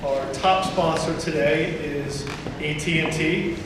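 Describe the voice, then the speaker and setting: A man addresses an event crowd, his voice pitched a little high and full of hesitations. He sounds distant from the microphone, with a long, roomy reverb from a large hall and steady noise behind him. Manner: halting, many fillers. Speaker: chairman of the board. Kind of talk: event speech. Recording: echoey hall from far off.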